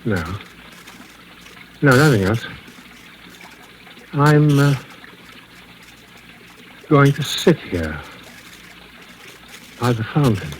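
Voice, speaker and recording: An actor playing a shaken man who broods obsessively, halting and repeating himself in 1940s radio drama style. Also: mid-range voice, sing-song. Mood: distracted, rattled. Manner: halting, repetitive, muttering to himself. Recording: noisy old radio recording, running water.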